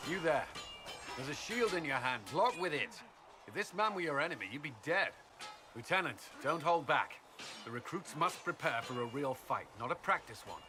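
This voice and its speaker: A man's voice: a little high, lively intonation, moderate pace, over music, close to an everyday voice. A man playing an earnest, commanding military officer, firm and formal, in a fantasy game. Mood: barking orders. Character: earnest, commanding male military officer and former holy knight. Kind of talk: dramatic fantasy game acting. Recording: clear background noise and ambience.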